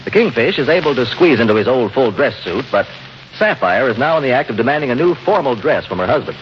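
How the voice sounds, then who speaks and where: Fast, animated vintage radio announcer man. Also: medium pitch. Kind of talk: scripted 1940s-50s radio narration. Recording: muffled old radio broadcast with noise.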